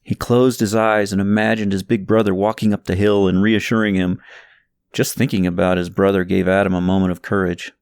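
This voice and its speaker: A man narrating a story from a script in an expressive, suspenseful, dramatic way. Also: low voice, brisk, smooth, no echo. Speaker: audiobook narrator reading own novel.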